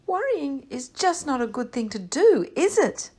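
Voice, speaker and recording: Woman putting on a high, jittery little male nightingale, stammering, whiny, close to tears, storybook. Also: sing-song, measured pace, darkish tone. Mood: relieved. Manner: stuttering, whiny, tearful. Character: nervous young male nightingale, anxious worrier.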